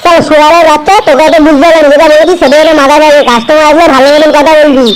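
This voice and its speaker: A performer voicing a bossy, crude village shopkeeper in a comedy cartoon, with a pitched-up voice. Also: fairly even intonation, racing.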